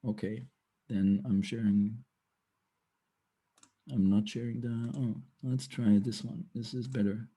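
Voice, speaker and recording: A man with a slightly raspy voice giving a tech talk over a video call, hesitant with many fillers and restarts and frequent throat clearing. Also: on the low side, steady tone, takes it slowly, long pauses, dark tone. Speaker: guest speaker.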